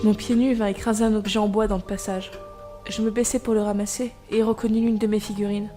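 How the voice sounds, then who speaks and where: An actress playing an anxious young mother, telling an eerie tale in measured first-person narration for an audio drama. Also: mid-range voice, little pitch movement, warm tone, soft background music, lightly acted. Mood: uneasy, suspenseful.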